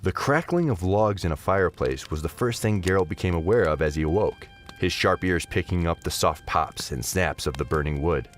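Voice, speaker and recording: Man, deep voice, dramatic scripted narration, lively intonation, faint music underneath. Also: a little fast.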